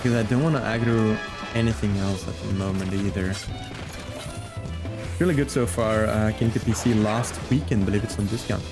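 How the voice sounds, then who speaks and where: A man's voice: on the low side, moderate pace, over music. A man chatting casually and playfully with viewers as he plays and comments on a game on a live stream.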